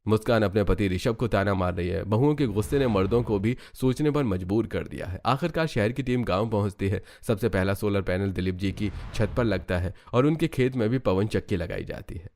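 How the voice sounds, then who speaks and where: A man's voice: fairly low pitch, fairly quick, few pauses, a little mellow. A man reading scripted narration for a cartoon story.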